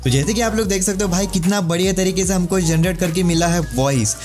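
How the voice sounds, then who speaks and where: A man's voice: high-pitched, fast, darkish tone, soft background music. A man, chatting informally to viewers as he explains things in a tutorial. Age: 20s. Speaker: tech tutorial YouTuber. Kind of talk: casual explainer to camera and screen-recording voice-over. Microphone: clip-on lavalier with windscreen.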